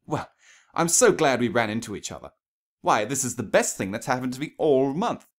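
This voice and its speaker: A man's voice: mid-range voice, big pitch swings, normal speed, pitched above the performer's own voice. A man voicing a genteel, gushing female cartoon pony in a storybook reading, refined and anxiously polite. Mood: delighted. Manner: refined, effusive, over-polite.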